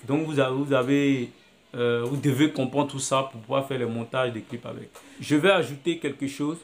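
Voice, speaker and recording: A man's voice: mid-range voice, moderate pace. Man walking through an app tutorial. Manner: step-by-step, repetitive, thinking aloud. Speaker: video editing instructor. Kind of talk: screen-recorded app tutorial.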